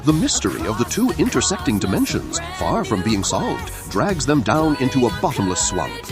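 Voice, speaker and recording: A man with a husky voice reading a scripted story as a dramatic narrator. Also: mid-range voice, huge pitch swings, moderate pace, over music.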